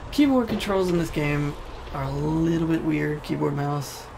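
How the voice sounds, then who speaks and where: A man's voice: fairly high pitch, normal speed, creaky, noisy background. A man hosting a gaming video with casual, informal and slightly rambling gameplay commentary. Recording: loud game sound behind voice-over. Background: game audio.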